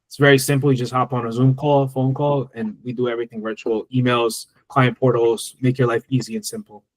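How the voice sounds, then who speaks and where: A man's voice: mid-range voice, level tone, fairly quick, dry recording, low-quality recording. A man giving tax advice in a webinar Q&A, talking loosely with many restarts. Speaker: tax strategist. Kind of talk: webinar Q&A answers. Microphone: video call.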